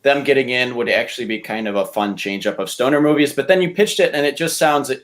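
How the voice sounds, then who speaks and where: A man's voice: medium pitch, expressive, fast talker, fairly bright tone. A man speaking in a casual debate style as a podcast contestant, rambling and opinionated as he argues his case. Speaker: podcast contestant pitching movie ideas.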